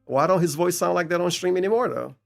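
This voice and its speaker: A man's voice: sits high, fast talker, creaky, soft background music. A man giving casual, mocking reaction commentary as a streamer, incredulous, sarcastic and profane.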